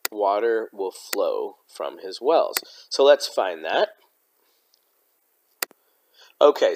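A man with a slightly hoarse voice hosting an informal Bible study, explaining in a halting, explanatory way. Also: fairly low pitch, a little hiss or hum. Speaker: host of a Bible study podcast. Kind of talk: informal religious teaching with scripture readings.

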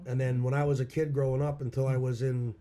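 A hoarse man from Pennsylvania, a local musician, telling stories about his past in a plain-spoken way. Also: medium pitch, flat delivery, quick pace, dark tone. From Schuylkill County, Pennsylvania. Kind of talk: casual interview chat.

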